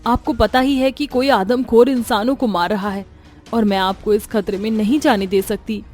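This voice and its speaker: Woman acting a worried village wife, mellow voice. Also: fairly high pitch, fairly even intonation, moderate pace, soft background music, close to an everyday voice. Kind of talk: dramatized horror story acting.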